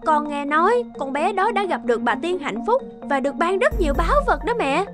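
A woman's voice: very high-pitched, sing-song, brisk. A woman playing a whiny, envious, spoiled young princess in a dubbed cartoon. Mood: envious, whining. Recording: loud music behind the voice.

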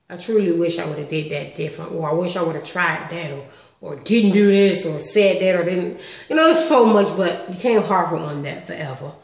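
A woman in her thirties chatting casually on a home vlog, animated and talkative, recorded on a webcam in her living room. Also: on the low side, gravelly, roomy sound. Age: 30s. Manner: chatty, animated with lots of hand gestures. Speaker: vlogger. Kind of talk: casual story-time vlog. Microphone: webcam close up.

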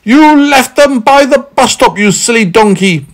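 An angry big man from a children's storybook, acted out by a man. He scolds impatiently in a strained, high-pitched shout. His delivery is forceful and indignant, swinging widely in pitch. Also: expressive, unhurried, creaky, exaggerated voice.

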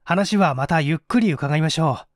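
A man's voice: fairly high pitch, moderate pace, dry recording, clean studio sound, close to an everyday voice. A man playing a cool, politely needling young man in a mystery story reading, articulate and pointed. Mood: polite, firm.

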